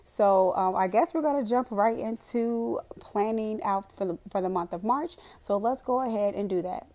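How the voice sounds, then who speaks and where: Hoarse woman vlogger recovering from a cold. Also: medium pitch, normal speed, darkish tone. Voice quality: raspy. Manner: chatty, rambling, with frequent "um" and laughs. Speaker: planner and budgeting vlogger. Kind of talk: casual voiceover narration. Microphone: voiceover recorded separately from the footage.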